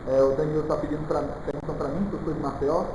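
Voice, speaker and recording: A man's voice: fairly high pitch, flat delivery, rapid-fire. A man from Salvador, giving an informal live lecture as a law teacher, didactic and often checking in with listeners. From Salvador, Brazil. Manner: didactic, with frequent check-in questions and colloquial asides. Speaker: criminal law teacher for public exam candidates. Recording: very echoey room with clear background noise.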